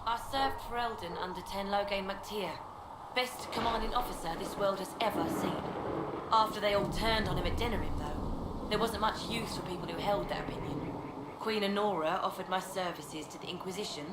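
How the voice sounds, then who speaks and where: A woman playing a blunt, loyal and weary army quartermaster in a video game, brisk and plain-spoken. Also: mid-range voice, lightly acted. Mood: defensive, bitter. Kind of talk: video game dialogue acting. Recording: roomy game audio, very noisy background.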